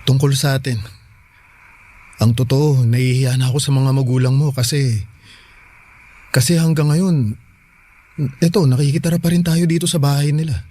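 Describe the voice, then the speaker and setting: A man playing an earnest, anxious young father in a Filipino radio drama, speaking in a halting, pleading way. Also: medium pitch, fairly even intonation, mellow, natural voice. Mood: ashamed, troubled.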